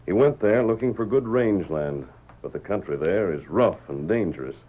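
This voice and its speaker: An actor playing a righteous masked frontier hero, speaking American English in a firm, measured, authoritative way in a radio western. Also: male voice, low voice, animated. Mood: grave, storytelling. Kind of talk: old-time radio western acting. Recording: muffled vintage radio drama.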